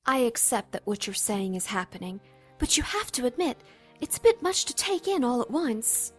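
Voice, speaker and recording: A woman's voice: fairly high pitch, very animated, moderate pace, soft background music. An actress playing a doubtful, polite mother in an audio drama, speaking with hesitant skepticism.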